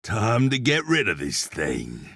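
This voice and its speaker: A man playing a grim, sardonic cowboy blacksmith demon hunter in a video game, a gravelly husky voice and a dry, laconic manner. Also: fairly low pitch, huge pitch swings, slow pace, a bit bright, dry recording, full character voice. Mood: menacing, resolute.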